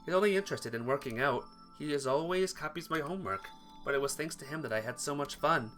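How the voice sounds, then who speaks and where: A man's voice: a little high, quick pace, fairly bright tone, soft background music. A man reading first-person visual novel narration aloud for a playthrough, stumbling over words and rereading them.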